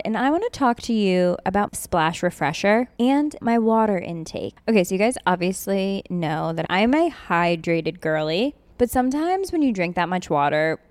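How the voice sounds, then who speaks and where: A woman's voice: mid-range voice, no echo. A young woman chatting through an ad read, bubbly and casual. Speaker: podcast host reading an ad.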